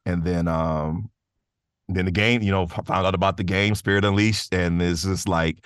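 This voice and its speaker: A man's voice: low voice, steady tone, normal speed, clear, resonant tone, no echo, clean studio sound. A man chatting casually as an interview guest, rambling and enthusiastic, often saying "man" and "you know". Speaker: podcast guest, voice actor.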